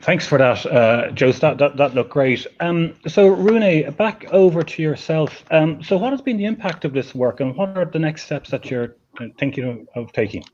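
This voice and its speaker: A man's voice: on the high side, normal speed, a little mellow. A man moderating a webinar session, politely thanking speakers and handing over with frequent fillers. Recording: dull lo-fi audio with clear noise.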